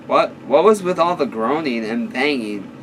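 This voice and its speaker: A caring teenage boyfriend who teases lightly, read aloud by a hoarse young man. The voice sits a touch higher than the reader's own and is caught from a distant mic with a faint air-conditioning hum in the room. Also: expressive, normal speed, close to an everyday voice. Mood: teasing. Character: boyfriend Zeke, teen boy, caring, teasing.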